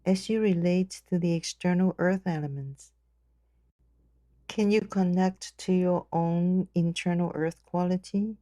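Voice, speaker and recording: Female meditation guide: soft dark tone, very slow gentle delivery, measured phrasing. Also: on the low side, fairly even intonation, no echo.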